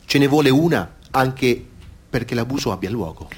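A man giving a grave, deliberate reading of scripted documentary theatre narration. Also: medium pitch, expressive, breathy edge. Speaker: reader in a radio theatre piece.

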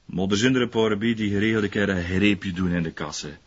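A low, smooth-voiced West Flemish man in a radio drama. He is an aggrieved but well-off ex-concierge who rambles in folksy dialect. The sound is a touch muffled. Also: brisk. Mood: knowing, indignant. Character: aggrieved male ex-concierge turned prosperous art dealer. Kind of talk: naturalistic radio drama dialogue.

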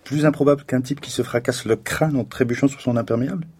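An actor playing a deferential, slightly awkward junior police officer in a French audio drama, understated and hesitant. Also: male voice, mid-range voice, steady tone, fast, a little mellow, breathy edge, close to an everyday voice. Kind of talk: French audio fiction acting.

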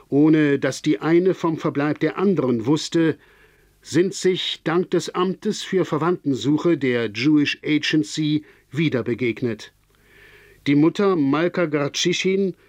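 An actor plays a radio bulletin announcer, a man with a fairly high voice. He reads scripted news in a formal, measured manner, and the sound is dry, with no room on it. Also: darkish tone, no room sound, natural voice.

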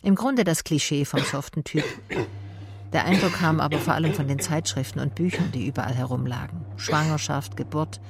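A woman's voice: deep voice, normal speed, gravelly. A woman playing a tough private detective, narrating and talking in a dry, laconic, sardonic way in a radio drama. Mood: wry, observant. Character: wry, tough female private detective narrating her case. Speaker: narrator and lead actor.

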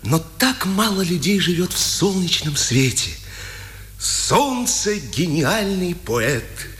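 An actor playing an impassioned, poetic imprisoned resistance fighter in a declamatory, lyrical 1950s radio drama style. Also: male voice, high voice, big pitch swings, takes it slowly, a bit bright, heavily acted. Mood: rapturous. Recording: old radio recording with background noise.

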